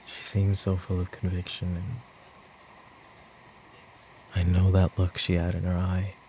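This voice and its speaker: Weary mafia boss, played by a man in an audio roleplay: low, mellow, brooding, burdened by guilt, faint noise behind. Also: monotone, lightly acted, low-quality recording. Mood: brooding, confiding. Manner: weary, brooding, speaking in sighs and pauses. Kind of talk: roleplay ASMR audio drama acting.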